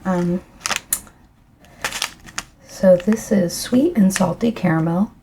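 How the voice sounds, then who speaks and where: A middle-aged woman speaking softly during an ASMR tea tasting, her voice croaky, clearing her throat often, rambling gently with fillers. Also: fairly low pitch, a little hiss or hum, low-quality recording. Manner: rambling, soft and unhurried with fillers.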